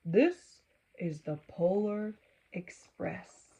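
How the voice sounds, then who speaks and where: A woman voicing a hearty, welcoming train conductor in a storybook, calling out loudly. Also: sits high, animated, very slow, creaky, slightly rough, narrow, tinny audio, full character voice. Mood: hearty, inviting. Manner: loud calling out.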